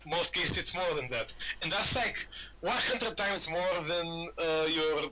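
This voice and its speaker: A man's voice: fairly high pitch, a little slow, noisy background. A man from Bulgaria chatting casually on a gaming podcast, rambling and often repeating his words. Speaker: podcast host.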